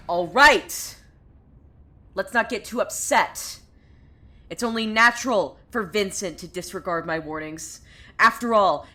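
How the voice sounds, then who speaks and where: A woman's voice: on the low side, sharp tone, full character voice. A woman playing an unhinged, bitter cult preacher with visions in a fiction podcast, theatrical and swinging between brooding and mania. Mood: resentful, agitated. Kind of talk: dramatic monologue in an audio fiction podcast.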